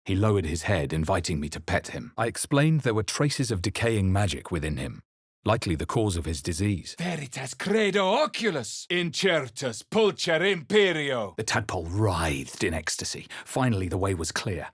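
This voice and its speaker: Brooding fantasy game hero: very low register, grave and measured, dramatic delivery, close dry sound. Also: male voice, sing-song, slightly rough, no room sound, heavily acted. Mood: forceful spellcasting. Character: brooding male fantasy adventurer hero, adult, narrating and spellcasting. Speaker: player-character voice actor. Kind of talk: dramatic video game voice lines.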